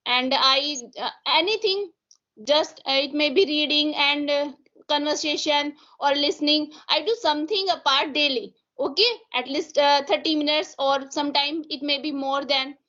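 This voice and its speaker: A woman with an Indian English accent speaks in a high, bright voice. She talks haltingly, with many fillers, as a Hindi speaker practising English. The recording is dull and lo-fi. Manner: hesitant, many fillers and restarts. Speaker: English learner asking questions. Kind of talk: conversation practice chat. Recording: dull lo-fi sound.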